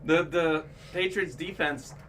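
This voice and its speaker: A man's voice: a little high, steady tone, slow, a bit bright, far from the mic, a little hiss or hum. A young man born in Bulgaria, co-hosting a sports memorabilia stream in a casual, chatty way, full of sports facts. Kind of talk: casual chat.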